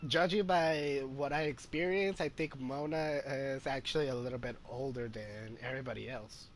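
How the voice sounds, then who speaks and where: A man giving casual playthrough commentary as a gamer, halting and musing as he goes. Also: medium pitch, fairly even intonation, slight background noise. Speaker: gamer, video host.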